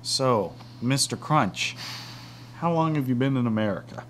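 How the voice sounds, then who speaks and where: A man's voice: mid-range voice, lively intonation, unhurried, slight background noise, lightly acted. A young man playing a cocky, bumbling undercover agent in an amateur comedy sketch.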